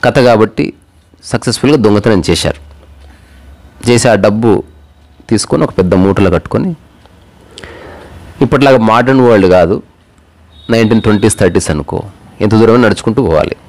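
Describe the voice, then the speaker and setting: A man's voice: fairly low pitch, fairly quick, pauses often, darkish tone. A man shares moral tales and anecdotes. He talks conversationally, slipping in English words and voicing the people in his stories as he goes. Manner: conversational, acts out dialogue, mixes English words into Telugu. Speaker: storyteller. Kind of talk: casual moral storytelling with personal anecdotes.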